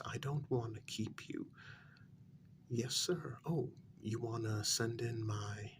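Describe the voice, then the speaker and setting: A man playing an anxious working-class older brother in a soft-spoken ASMR role-play, speaking in a hushed, deliberate, insistent way. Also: gravelly, slight background noise. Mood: deferential, polite. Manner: low, deliberate, insistent, half-whispered warning.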